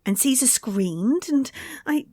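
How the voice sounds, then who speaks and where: A woman's voice: high voice, animated, slow pace, dark tone, no echo, exaggerated voice. A woman playing an earnest, haunted daughter of a lonely castle in old-time radio suspense style. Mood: anxious, suspenseful. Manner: earnest, dramatic, tense phrasing.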